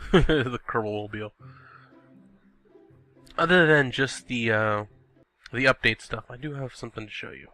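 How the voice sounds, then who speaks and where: Young man, sniffly, casual gameplay commentary, bright forceful tone, faint music behind. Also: mid-range voice, unhurried, pauses often. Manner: chatty, thinks aloud, exclaims at the game. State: sniffly, recovering from illness. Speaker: gaming video host. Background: background music.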